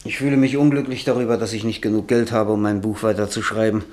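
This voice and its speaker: A man's voice: lowish voice, little pitch movement, quick pace, a little mellow, close to an everyday voice. Weary, bitter middle-aged novelist played by a man. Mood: weary, gloomy. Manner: sardonic, self-pitying. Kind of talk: German radio play acting.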